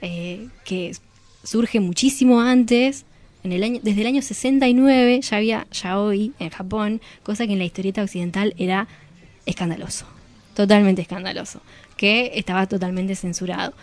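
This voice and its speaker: Woman, mid-pitched, informal explainer. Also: moderate pace, a little mellow, no echo. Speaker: guest discussing comics and manga. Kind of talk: casual radio interview discussion.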